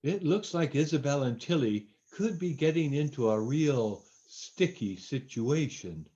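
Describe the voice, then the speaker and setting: A man's voice: a little high, expressive, unhurried, mellow. A man playing a folksy, hammy old-time radio announcer, on a dull lo-fi recording. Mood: salesy, jolly. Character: genial folksy male radio announcer plugging toffees. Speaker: announcer and narrator.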